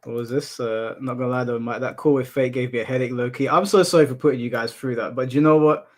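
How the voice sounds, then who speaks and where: A man from the UK with a monotone voice, casually chatting and answering his livestream chat, laughing off insults. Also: medium pitch, quick pace. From United Kingdom. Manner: relaxed, laughs off insults, addresses chat as bro. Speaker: streamer. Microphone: headphones in, desk mic.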